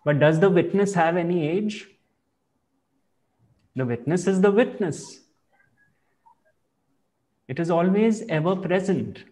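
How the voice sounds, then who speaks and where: A man speaking as a spiritual teacher, explaining ideas in slow, measured and reflective phrasing. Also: high voice, a little mellow. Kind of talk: calm explanatory spiritual talk.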